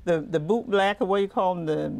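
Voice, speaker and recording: A woman's voice: on the low side, fast talker, gravelly, darkish tone. An older woman co-hosting a TV talk panel, speaking reflectively and often repeating words as she gathers her thoughts. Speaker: co-host.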